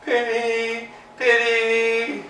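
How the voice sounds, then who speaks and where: An actor playing a helpless, bewildered blind man in a staged play reading, crying out pitifully in drawn-out words. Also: extremely high pitch, completely flat delivery, pushed and bright, full character voice. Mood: crying for help. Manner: plaintive cries for help, drawn-out words. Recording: very echoey room, distant mic, faint noise.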